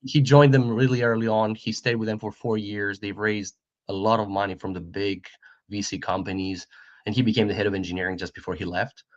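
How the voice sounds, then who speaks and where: Casting: man in his forties from Greece, lowish voice, friendly guest, muffled dry call audio. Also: little pitch movement, fairly quick, no room sound. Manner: friendly, repeats words, laughs between points. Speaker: guest, startup co-founder. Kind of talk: interview conversation.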